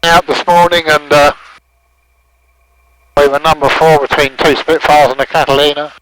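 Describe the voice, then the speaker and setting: A man with a London accent, flying a vintage plane and talking calmly through his checks over the headset intercom. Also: fairly high pitch, moderate pace, rough recording. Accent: London English. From England. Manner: calm, running through checks aloud. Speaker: pilot. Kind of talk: casual cockpit chat. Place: aircraft cockpit. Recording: engine noise over intercom.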